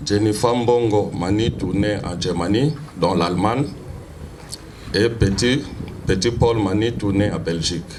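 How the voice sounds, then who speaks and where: Slow, formal man reading a eulogy. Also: on the low side, noisy background. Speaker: funeral master of ceremonies. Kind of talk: reading a biography at a funeral.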